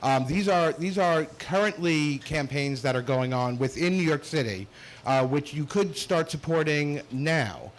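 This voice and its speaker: A man introducing himself as an activist at a public Q&A, speaking in a measured, informative way. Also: on the high side. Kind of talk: public Q&A comment. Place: lecture hall.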